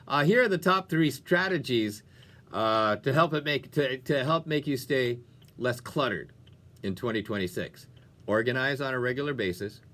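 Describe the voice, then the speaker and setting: A male radio host with an upbeat, animated delivery. He sits at a medium pitch and chats in a folksy, playful way, like a DJ talking between songs. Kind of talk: upbeat music radio presenting, chatty talk breaks.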